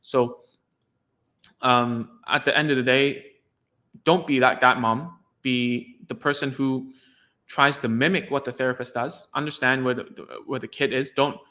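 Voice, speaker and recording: A man's voice: medium pitch, steady tone, measured pace, bright, forceful tone. A man whose first language is Cantonese, a speech therapist giving frank, rambling casual advice to parents, full of "like" fillers.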